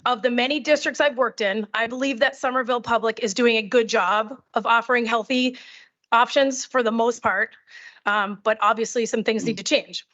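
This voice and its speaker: A woman's voice: a little high, fairly even intonation, normal speed, fairly bright tone. A middle-aged woman, a teacher, delivering prepared testimony at a public hearing. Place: committee hearing. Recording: dull, muffled audio.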